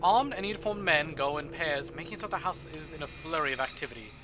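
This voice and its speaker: High-voiced man narrating a visual novel. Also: lively intonation, fast. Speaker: streamer reading a visual novel aloud. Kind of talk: dramatic first-person story narration with asides. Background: game music.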